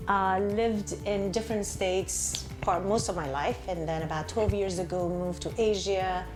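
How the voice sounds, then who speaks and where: A woman's voice: mid-range voice, steady tone, unhurried, music in the background. A woman from Iran, an interior designer, chatting casually as an interview guest.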